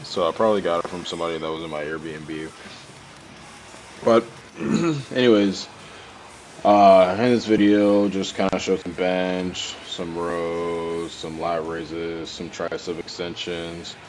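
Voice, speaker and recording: A man's voice: sits low, fairly even intonation, takes it slowly, background noise. A young man with a cold, congested and stuffy, narrating his own training footage in a loose, rambling voice-over with many fillers and restarts. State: congested, sore throat, clearing throat often.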